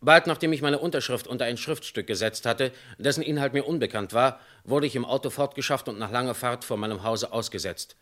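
Man doing a businessman calmly telling how he got kidnapped, middle pitch. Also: a little fast, few pauses, close to an everyday voice. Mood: recounting. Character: kidnapped male industrialist giving a statement.

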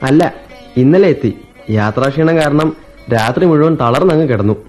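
A man's voice: fairly high pitch, expressive, normal speed, darkish tone, lightly acted. A man playing an earnest, troubled young student. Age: young adult. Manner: earnest, melancholy, reflective. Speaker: radio drama actor. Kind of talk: 1980s Indian radio play acting. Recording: dull old radio recording with faint music.

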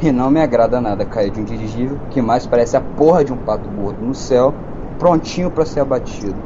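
A man performs a cynical, nervous soldier narrating his own war in a radio play. He has a Brazilian accent, a medium-pitched voice and a sardonic, foul-mouthed colloquial manner. Clear engine noise and ambience surround him. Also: a little fast, muffled recording, natural voice. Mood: sardonic dread. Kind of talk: first-person audio drama narration.

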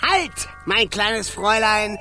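A man's voice: high-pitched, animated, takes it slowly, exaggerated voice. A man voicing a slimy, boastful water sprite in a children's radio play, theatrical and taunting, relishing every splashy word. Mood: grandiose. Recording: music behind the voice. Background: music.

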